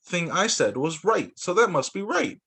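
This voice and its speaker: Man as an eager, high-pitched, muddled little rabbit. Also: very animated, moderate pace, gravelly, fairly bright tone, husky, heavily acted. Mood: pondering. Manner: chirpy, muddled questioning. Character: eager, absent-minded young boy rabbit.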